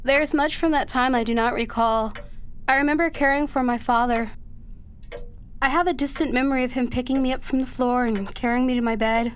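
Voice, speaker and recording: A woman playing a dutiful, anguished young daughter in a period radio drama, speaking earnestly and haltingly in formal old-fashioned phrases. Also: sits high, steady tone, a little hiss or hum, natural voice. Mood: sorrowful remembering.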